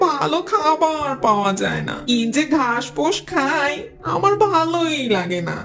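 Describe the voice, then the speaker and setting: A woman's voice: sits high, big pitch swings, unhurried, exaggerated voice. A woman voicing a fretful cartoon bird, plaintive and complaining. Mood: plaintive chatting. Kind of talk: cartoon character voice acting. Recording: faint music under the voice.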